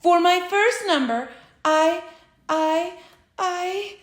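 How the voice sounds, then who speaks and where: A woman's voice: extremely high pitch, animated, slow, a bit bright, full character voice. A woman doing a shy stammering frog. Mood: shy, pleading. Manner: stammering, timid. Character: shy, hopeful young male frog who wants to sing. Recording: roomy echo.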